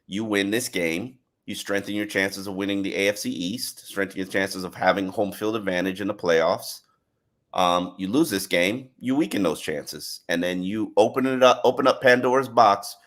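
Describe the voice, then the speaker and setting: A man's voice: deep voice, a bit bright. A man hosting a casual sports podcast, breaking down the team in an analytical, emphatic way. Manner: analytical, emphatic, plugs the show. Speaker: podcast host.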